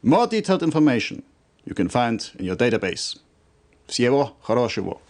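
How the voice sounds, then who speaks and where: An actor playing a stern, formal military commander who briefs an officer in a measured, authoritative way for a video game cutscene. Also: male voice, mid-range voice, big pitch swings, a little hiss or hum. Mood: approving, formal. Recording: compressed old game video audio.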